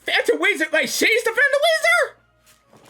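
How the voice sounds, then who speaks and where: A woman doing casual live gaming reactions, excitable, stammering and repeating words. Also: very high-pitched, measured pace, soft background music.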